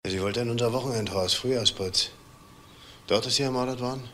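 A man's voice: mid-range voice, fairly quick, a bit bright, faint music behind, close to an everyday voice. An actor playing a middle-aged married man in a crime drama, controlled and probing, with clipped questions. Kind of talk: screen crime drama dialogue. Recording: faint background noise.

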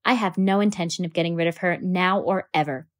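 A woman's voice: on the low side, steady tone, fairly quick, no room sound, clean studio sound, natural voice. Father role, woman reader: flinty, firm, lecturing. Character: dry firm father, lawyer.

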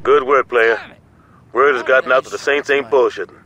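A man playing a commanding, streetwise gang boss in a video game, American accent, husky and deliberate. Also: medium pitch, background noise, lightly acted. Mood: confident. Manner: deliberate, authoritative. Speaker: gang leader character. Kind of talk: video game cutscene acting.

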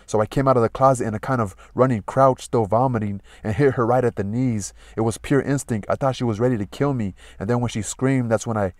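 A man's voice: mid-range voice, a little fast, hardly any pauses, no room sound, studio quality, lightly acted. A man voicing a wild, paranoid male lawyer who blusters and accuses. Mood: excited recounting.

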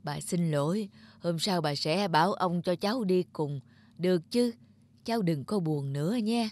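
A woman voicing a kindly, patient elderly grandmother in a children's cartoon, speaking gently and affectionately. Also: fairly low pitch, normal speed, dry recording, close to an everyday voice. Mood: gentle, concerned.

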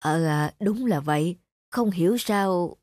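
A woman voicing a gentle, humble old grandfather, a silversmith, in an animated moral story, speaking in a reflective way. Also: fairly low pitch, measured pace, no echo, studio quality, close to an everyday voice. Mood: apologetic.

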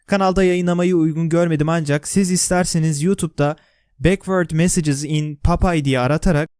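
Turkish male explainer narrator, scripted read, higher-than-average voice, dry recording. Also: steady tone, brisk, darkish tone, smooth, no room sound. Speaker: video narrator.

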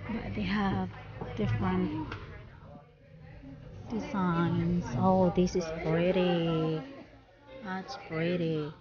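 A woman's voice: lowish voice, level tone, very slow, long pauses, dull audio, a crowd in the background. A woman chatting casually as she vlogs from a car and then shows viewers around a store. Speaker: vlogger. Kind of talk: casual travel vlog chat. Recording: loud music over the voice.

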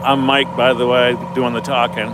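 A man with a Maine accent talks offhandedly while filming from his phone in the snow. His voice sits at a middling pitch. A truck engine rumbles loudly behind him. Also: flat delivery, normal speed. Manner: offhand, chatty commentary. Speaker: vlogger filming. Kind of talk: casual narration while filming. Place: outdoors on a snowy driveway in winter. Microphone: handheld phone camera. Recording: loud engine noise outdoors. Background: running truck engine.

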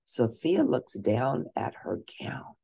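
A woman reading a children's storybook aloud expressively, with drawn-out emphasis for young listeners. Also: very deep voice, big pitch swings, vocal fry, warm tone, slightly rough. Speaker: storybook reader.

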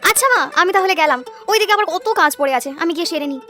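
A woman's voice: extremely high pitch, expressive, very fast, sharp tone, soft background music, full character voice. A woman playing a caring young wife and daughter-in-law in a cartoon, sweet and pleading. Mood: eager, gentle. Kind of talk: cartoon voice acting, domestic moral story.

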